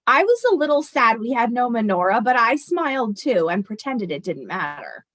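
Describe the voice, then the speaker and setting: A woman voicing an elderly grandmother in a storybook, tender and nostalgic, reminiscing with gentle emphasis. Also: fairly high pitch, very animated, moderate pace. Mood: happy remembering. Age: older.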